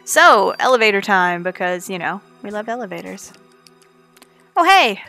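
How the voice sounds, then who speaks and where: Canadian woman w a bit of newfoundland in her accent, bright sharp voice, joking around while she speedruns. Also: medium pitch, very animated, normal speed, faint music behind. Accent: Canadian, with a touch of Newfoundland. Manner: chatty and playful, jokes with chat. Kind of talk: live speedrun commentary.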